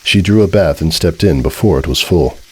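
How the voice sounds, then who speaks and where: Very deep-voiced male story narrator. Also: lively intonation, normal speed, a little mellow, slightly rough, poor audio. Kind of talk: dramatic scripted story narration. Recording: music behind the voice.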